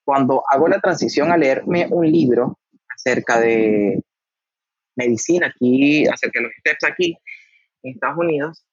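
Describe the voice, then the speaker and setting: Man in his 30s, husky voice, halting recall over a dull dry remote line. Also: medium pitch, dry recording. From Venezuela. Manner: hesitant, repeats words while recalling. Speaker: guest, doctor. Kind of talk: casual interview answers.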